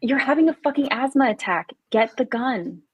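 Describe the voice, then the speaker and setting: Woman acting a husky, take-charge camp counselor. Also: sits high, expressive, normal speed. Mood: firm, urgent. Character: frightened, take-charge young female camp counselor, urgent and protective. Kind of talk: live table read of slasher script.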